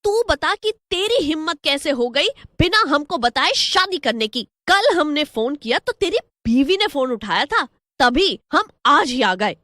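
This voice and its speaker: A woman's voice: high-pitched, very animated, unhurried, hardly any pauses, bright, forceful tone, no echo, clean studio sound, voice pushed up, heavily acted. A woman voicing a bewildered, indignant village mother scolding her grown son in a storybook tale. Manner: indignant, exclaiming. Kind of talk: dramatized storybook voice.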